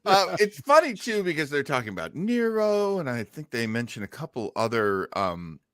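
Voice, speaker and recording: A man's voice: a little high, huge pitch swings, moderate pace, fairly bright tone, dry recording. A man hosting a podcast with conversational commentary. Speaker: podcast host.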